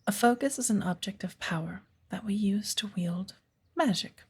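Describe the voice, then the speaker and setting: A woman playing a patient, playful witch of the woods who gently teaches an apprentice in a soft ASMR roleplay, with a breathy voice. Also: medium pitch. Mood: earnest, instructive. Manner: slow, measured, gently instructive.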